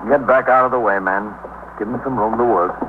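A man's voice: mid-range voice, a little fast, natural voice. A man playing a businesslike police captain, matter-of-fact and authoritative, in a 1950s radio drama. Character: steady, businesslike male New York police captain. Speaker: lead character and narrator. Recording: tinny 1950s radio recording, faint noise.

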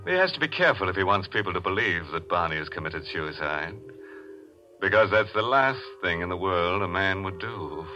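A man's voice: deep voice, expressive, moderate pace, bright, forceful tone, soft background music. A man hosting a 1940s radio mystery show in a theatrical, macabre, tongue-in-cheek manner. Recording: muffled vintage radio broadcast.

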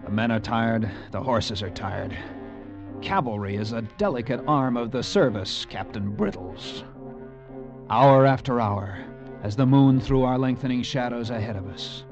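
A man's voice: medium pitch, lo-fi sound, natural voice. Man acting earnest young cavalry lieutenant, old-time radio. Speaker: actor in a radio drama, also narrating in character. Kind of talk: 1940s-50s radio acting. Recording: old radio recording with music.

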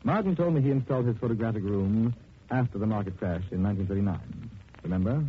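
An actor in a 1940s radio drama playing a suave, unflappable gentleman detective with a polished, dryly witty, pedantic manner. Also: lowish voice, fast, natural voice. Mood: wry explaining. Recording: tinny, noisy 1940s radio recording.

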